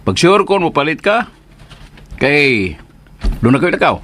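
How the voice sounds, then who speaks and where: Radio drama: strict, arrogant Filipino shop owner, bright forceful voice, very animated, confrontational. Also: male voice, a little high, a little slow, full character voice. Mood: haughty, impatient. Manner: haughty, confrontational, exclamatory. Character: arrogant, strict, hot-tempered male store owner.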